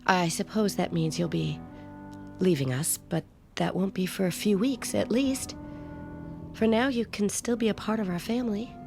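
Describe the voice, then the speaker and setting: An actress playing an anxious, grieving housewife who is possessively tender, speaking in a hushed, halting, strained way in a radio drama. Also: female voice, mid-range voice, vocal fry, faint music behind, natural voice. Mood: tender, wistful. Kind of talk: naturalistic radio drama acting.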